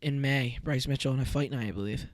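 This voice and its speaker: A young man co-hosting a casual sports podcast, excitable and emphatic, his voice a little worn from shouting. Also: medium pitch. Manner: excitable, animated, quick bursts of emphasis. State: losing his voice. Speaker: podcast co-host.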